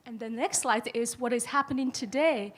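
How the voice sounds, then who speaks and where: A woman's voice: on the high side, normal speed, a bit bright. A woman from China giving a presentation at a podium, full of "um" and "uh". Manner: many "um" and "uh" fillers. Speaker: conference speaker. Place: large conference hall. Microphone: podium mic.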